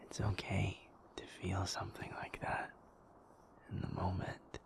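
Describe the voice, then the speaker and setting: A man playing a gentle, patient werewolf in an intimate audio roleplay, soothing and reassuring in a halting, breathy, creaky whisper. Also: low voice, completely flat delivery. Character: gentle, patient male werewolf comforting a sleepless partner.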